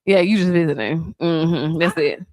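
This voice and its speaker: A woman from Atlanta with a deep Southern accent, hosting a cooking show and chatting with emphatic, lively delivery. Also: normal speed, no echo. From Atlanta, USA. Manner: animated, emphatic, laughs often. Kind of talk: casual chat while cooking.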